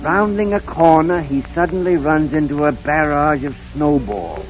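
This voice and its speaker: A man's voice: on the high side, expressive, moderate pace, natural voice. A man acting as a dramatic, earnest storyteller, narrating in 1930s radio style. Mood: bright, vivid. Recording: muffled 1930s radio recording with music.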